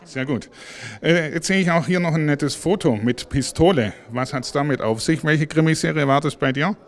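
A man's voice: a little high, normal speed. A man in his forties, an entertainment reporter, asking casual questions at an indoor event, with frequent friendly murmurs. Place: indoor event room with passers-by. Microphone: handheld mic.

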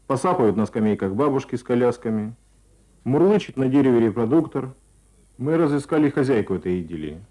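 A man's voice: mid-range voice, sing-song, a little fast, mellow. A man reading scripted, literary Soviet-style radio reportage.

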